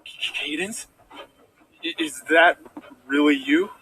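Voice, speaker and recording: A man's voice: on the high side, takes it slowly, pushed and bright, breathy edge, heavily acted, poor audio. A man playing a distraught, suspicious pony prince in a cartoon drama, with an overwrought delivery. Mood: wary. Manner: overwrought, stammering. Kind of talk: fan-made cartoon audio drama acting.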